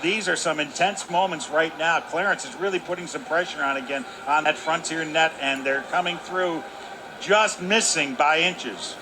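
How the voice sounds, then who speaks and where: A man's voice: a little high, moderate pace. A man giving color commentary on a radio hockey broadcast, explaining strategy in a folksy, emphatic way. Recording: arena broadcast with faint noise.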